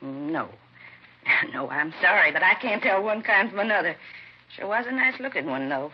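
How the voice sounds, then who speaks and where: A woman's voice: fairly low pitch, animated, normal speed, slightly rough. An actress playing a chatty, fretful woman who rents out rooms, talking in a folksy, rambling way in an old radio crime drama. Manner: folksy, rambling, homespun speech. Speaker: witness. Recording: muffled vintage radio broadcast with faint hiss.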